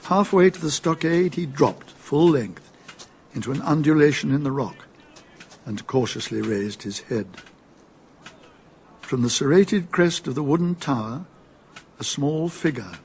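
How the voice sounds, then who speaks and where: An older English actor playing the narrator of a medieval radio drama, telling the tale in a measured, gravelly voice. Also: male voice, medium pitch, expressive, a little mellow, a little hiss or hum. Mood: measured storytelling.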